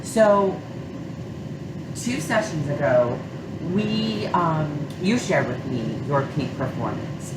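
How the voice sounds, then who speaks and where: A woman consultant with a slight cold and a nasal voice, gently leading a counseling session with many fillers. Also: extremely low pitch. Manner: gentle, hesitant, many fillers like you know and um. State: has a cold, a little nasal. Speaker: sport psychology consultant. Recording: echoey room, far mic, loud hum.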